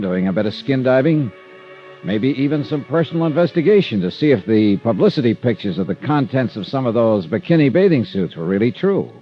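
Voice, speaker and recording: A man acting a hard-boiled American insurance investigator from a 1950s radio drama. His delivery is wry, clipped and very animated in a medium-pitched voice. The recording is muffled vintage radio with music behind it. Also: close to an everyday voice. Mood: wry narration. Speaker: lead actor and narrator.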